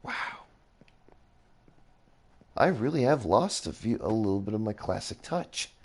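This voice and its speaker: A young man casually rambling through a game tour as a streamer, his voice a little raspy, often reacting with surprise. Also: fairly low pitch, lively intonation, unhurried, darkish tone. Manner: rambling, easily impressed, mild swearing. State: slightly raspy voice. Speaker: gaming streamer. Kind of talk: casual game commentary while touring builds.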